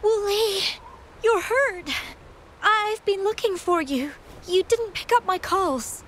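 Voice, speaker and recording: A soft-spoken young game heroine, high and light in tone, who is always worried about someone she loves. She speaks slowly and stumbles over her words, with faint room ambience behind her. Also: a little hiss or hum, exaggerated voice. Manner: hesitant, stammering. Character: young woman, gentle and anxious sweetheart. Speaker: game character. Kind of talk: game cutscene voice acting.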